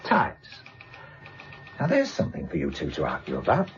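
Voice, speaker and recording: An actor playing a gruff, sardonic senior British police inspector, bluntly probing in a radio drama. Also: male voice, very deep voice, wildly swooping pitch, moderate pace, highs cut off, slight background noise, exaggerated voice. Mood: musing, deducing. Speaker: police inspector questioning suspects and directing subordinates.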